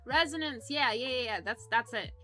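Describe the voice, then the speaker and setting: A woman's voice: a little high, moderate pace, pushed and bright, faint music behind. A young woman streaming, chatting casually with her viewers in a rambling, giggly way that often trips over words. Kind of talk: casual chat with live chat.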